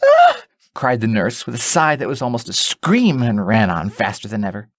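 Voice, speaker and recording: A man reading a storybook as an audiobook narrator, in a deliberate, dramatic way. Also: lowish voice, lively intonation, no room sound, clean studio sound.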